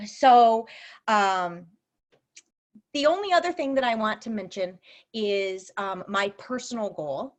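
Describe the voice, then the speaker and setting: A woman math teacher giving a chatty, upbeat talk about her classes at a school open house on a video call. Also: on the high side.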